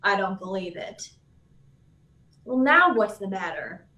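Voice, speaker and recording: A woman's voice: mid-range voice, sing-song, takes it slowly, sharp tone, distant mic, exaggerated voice. Woman playing sarcastic young girl reporter, radio drama, skeptical, needling, echoey room, a bit off-mic. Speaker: actor in a live radio play. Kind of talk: 1940s radio serial acting. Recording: roomy, echoing, off the mic.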